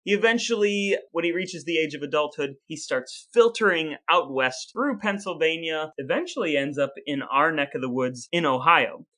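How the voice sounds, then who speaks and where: Podcast co-host, male, fairly high and forceful voice, talkative explainer. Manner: explains at length, asks his brother questions. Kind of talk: casual chat.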